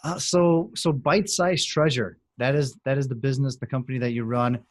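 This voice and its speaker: A man hosting a podcast, conducting a casual interview in a halting, conversational manner. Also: mid-range voice, steady tone, no echo.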